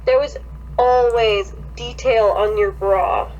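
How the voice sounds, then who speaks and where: A woman's voice: a little high, fairly even intonation, slow pace, a little mellow, dull audio, slight background noise. A young woman co-hosting a podcast, chatting casually with blunt, exasperated, sweary commentary. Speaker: podcast co-host. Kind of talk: casual chat, wry commentary.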